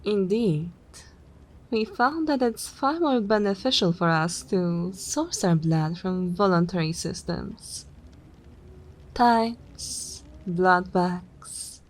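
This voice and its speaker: A woman's voice: mid-range voice, lively intonation, slow pace, low-quality recording. A woman voicing a composed, teasing and menacing vampire mistress in an ASMR roleplay, with a formal, condescending drawl. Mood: matter-of-fact.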